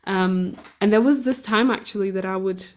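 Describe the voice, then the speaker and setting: A woman's voice: mid-range voice, normal speed. A young woman from Portugal chatting casually, rambling with lots of fillers and restarts. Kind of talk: casual conversation.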